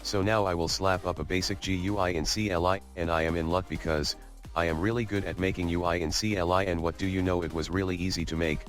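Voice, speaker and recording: A man's voice: deep voice, steady tone, fast, over music. A man narrating a scripted comedic coding video in a jokey, mock-boastful way.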